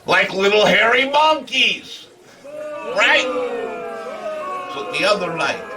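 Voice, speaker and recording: Igor, a wildly animated comedy-cavern host. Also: male voice, high-pitched, takes it slowly, recorded from a distance, background noise. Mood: gleeful, teasing. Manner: broken grammar, chuckling, animated storytelling. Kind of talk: comic monologue in character.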